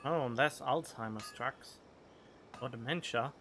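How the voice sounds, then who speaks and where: A man's voice: medium pitch, unhurried, background noise. A man giving casual playthrough commentary with dry, joking asides. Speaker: gaming streamer. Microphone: studio condenser microphone. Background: game music.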